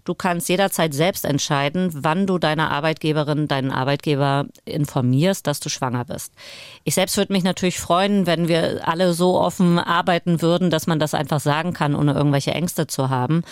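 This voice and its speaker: Portuguese-born woman doctor explaining calmly. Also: deep voice, moderate pace, clean tone, no room sound, studio quality. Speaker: doctor and podcast co-host. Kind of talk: explanatory podcast chat.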